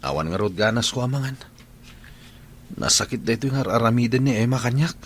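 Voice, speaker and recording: A man's voice: medium pitch, expressive, brisk, slight background noise, lightly acted. A man playing a lovesick, stubborn young construction worker in a radio drama, earnest and plaintive. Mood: dejected.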